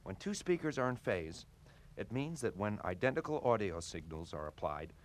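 A man playing a pompous know-it-all loudspeaker. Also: lowish voice, big pitch swings, normal speed. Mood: lecturing. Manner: pompous, lecturing, easily irritated. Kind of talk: comedy sketch on a test record.

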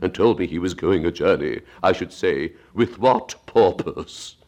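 Drawling, touchy storybook turtle creature, voiced by a man, deep and slow. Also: lively intonation, a little mellow. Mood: gloomy. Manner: slow, drawling, easily offended. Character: slow melancholy male Mock Turtle creature.

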